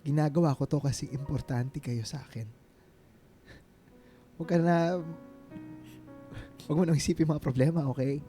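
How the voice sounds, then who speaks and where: An actor playing a devoted, reassuring suitor in a Filipino radio drama, speaking earnestly and mixing in English. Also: male voice, fairly high pitch, steady tone, moderate pace, long pauses, dark tone, soft background music, natural voice.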